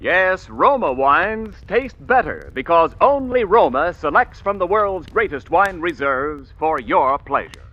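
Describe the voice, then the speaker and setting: A man's voice: a little high, very animated, unhurried, pushed and bright. A man announcing a sponsor on 1940s radio with a polished, emphatic sales delivery. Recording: dull vintage 1940s radio recording.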